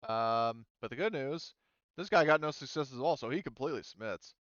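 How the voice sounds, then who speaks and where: Male game master, lowish and clean-voiced, halting tabletop delivery, slightly dull dry recording. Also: fairly bright tone. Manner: halting, thinking aloud. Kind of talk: running a tabletop roleplaying game. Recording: roomy, slightly muffled microphone.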